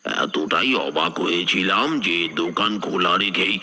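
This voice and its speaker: A man playing an old village tea seller who tells his story in a measured, wistful way for an animated horror tale. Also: sits low, slight background noise, full character voice. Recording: music bed behind the voice.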